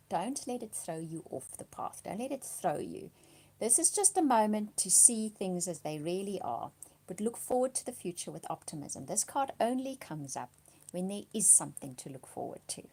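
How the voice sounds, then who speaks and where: A woman doing a monthly tarot reading, slightly hoarse from a cold, speaking softly and reassuringly, dropping to a whisper as she reads the cards. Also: medium pitch. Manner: soft-spoken, often drops to a whisper reading cards; reassuring, often checks in with "okay?". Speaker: tarot card reader.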